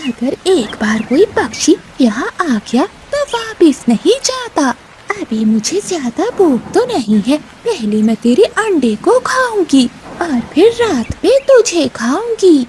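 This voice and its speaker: A woman's voice: sits high, big pitch swings, slow pace, background noise, full character voice. A woman voicing a menacing, gloating female hunter eagle in a children's cartoon. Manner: threatening, gloating. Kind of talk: Hindi children's cartoon voice acting.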